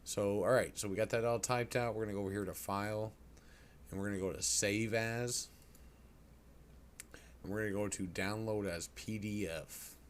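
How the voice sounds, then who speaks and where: Very deep-voiced man giving a casual tutorial. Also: steady tone, normal speed, long pauses. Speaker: tutorial presenter. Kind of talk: casual screen-recorded software tutorial. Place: indoors at a computer. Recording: clear background noise.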